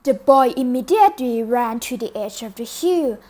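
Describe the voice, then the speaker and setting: A woman narrating a fable in a student puppet show, in slow, clear storybook style. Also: fairly high pitch, animated, dark tone, faint music behind.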